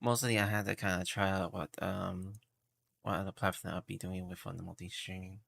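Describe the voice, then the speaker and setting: Low, creaky-voiced man streaming a game. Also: flat delivery, fairly bright tone. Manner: halting, meandering. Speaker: live streamer. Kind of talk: casual gaming livestream chat. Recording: game music behind the voice. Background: video game music.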